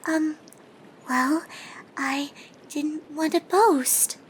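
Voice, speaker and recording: A woman voicing a timid, gentle cartoon pegasus pony, soft-spoken, hesitant and easily embarrassed. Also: sits high, very slow, slight background noise, voice pushed up, low-quality recording. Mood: shy, hesitant. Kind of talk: character voice in fan fiction reading.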